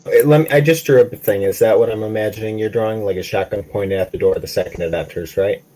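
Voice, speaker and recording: A man with a deep, rough-edged voice talks quickly. He is a player in a tabletop game, casually laying out plans to the group. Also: dark tone, poor audio. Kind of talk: casual game planning chat.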